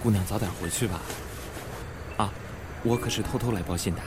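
A man's voice: lowish voice, fairly quick, noisy background, lightly acted. A man playing a cheeky, loyal, eager young bandit henchman in an audio drama. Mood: confiding. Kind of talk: audio drama acting in Mandarin.

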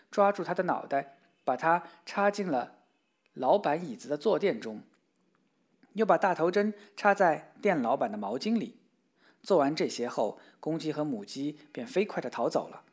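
A storyteller reading a children's fairy tale aloud in a steady, expressive way. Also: mid-range voice.

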